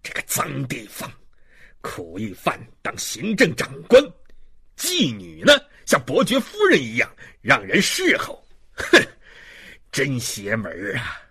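A man's voice: medium pitch, wildly swooping pitch, drawn-out words, hardly any pauses, slightly rough, pitched below the performer's own voice, heavily acted. A man voicing a brutal, mocking police inspector in a dramatic audiobook, barking with contempt. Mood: scornful, furious. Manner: barking, contemptuous, forceful delivery.

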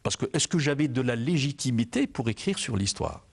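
Older man with a gravelly husky voice. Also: medium pitch, very animated, a little fast. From Algeria. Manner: reflective, repeats words while searching. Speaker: author and jurist, guest. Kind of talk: studio interview. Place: TV studio set, indoors.

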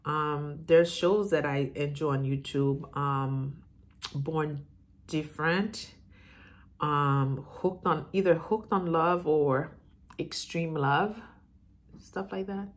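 A woman's voice: low voice, slow. A middle-aged woman with a Haitian accent tinged with Southern US, chatting casually and thinking aloud as she answers viewer questions. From Haiti, moved to the US as a child and grew up in Florida. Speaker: YouTuber. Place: room in a house, indoors.

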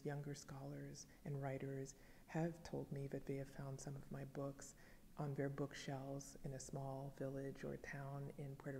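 Haitian-born woman professor, French first language, 40s, measured reflective delivery, mellow gravelly voice, faint room hum. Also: rumbling low, long reverb tail. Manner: thoughtful, measured storytelling. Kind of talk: reflective interview answer to camera. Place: dim wood-paneled library. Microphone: fixed camera, seated at medium range.

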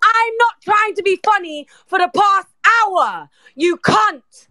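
A worked-up woman with a London accent argues aggressively, circling back on the same phrases. Her voice is extremely high and piercingly bright, recorded dry and close in a heated voice chat. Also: animated, slow pace, no echo. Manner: confrontational, aggressive, repetitive, swearing. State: angry, worked up. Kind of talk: heated argument in a live online group voice chat.

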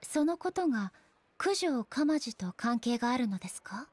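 Composed, high-voiced shogun goddess. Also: female voice, big pitch swings, darkish tone. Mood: composed inquiry. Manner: formal, measured, stately. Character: composed, solemn female shogun deity, formal and reflective. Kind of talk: Japanese anime game voice acting.

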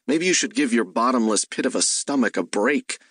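A man's voice: mid-range voice, animated, brisk, a bit bright, no echo, clean studio sound. A man voicing an exasperated, sarcastic male detective in an audiobook, with indignant delivery.

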